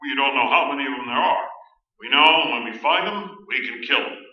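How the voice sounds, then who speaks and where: A man's voice: mid-range voice, expressive, a little fast, close to an everyday voice. A man playing an unflappable, folksy small-town sheriff who hunts ghouls in a staged radio play. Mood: matter-of-fact. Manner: folksy, matter-of-fact, laconic. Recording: very echoey stage, distant dull pickup.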